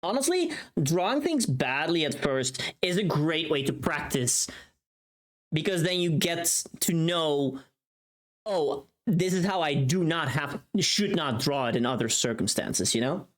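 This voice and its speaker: Streamer with animated, swooping intonation. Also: medium pitch, measured pace. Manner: informal, profane, thinking aloud. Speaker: livestream host. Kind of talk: casual livestream chat while working.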